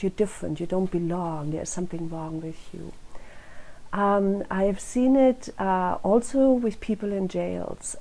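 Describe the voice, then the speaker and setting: A middle-aged woman with a German accent, the director of a crime prevention council giving an earnest, reflective speech at a community rally, pausing often on "uh". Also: on the low side, dark tone, poor audio. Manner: earnest and reflective, with frequent "uh" pauses.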